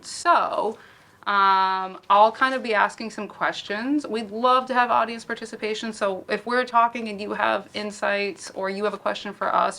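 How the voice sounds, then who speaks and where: A woman's voice: medium pitch, sharp tone. Woman casually moderating a live panel. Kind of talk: casual, informal event introduction. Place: public library event space.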